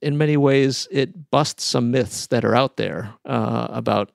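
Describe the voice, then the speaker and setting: Guy hosting the interview, gravelly, keeps restarting his questions, dry close sound. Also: mid-range voice, a little slow, no echo. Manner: lively questioning, frequent restarts. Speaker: podcast host.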